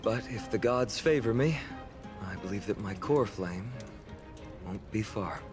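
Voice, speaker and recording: A man's voice: fairly low pitch, expressive, a little slow. A man playing an earnest, courteous young soldier hero in a video game. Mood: solemn, earnest. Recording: game music under the voice.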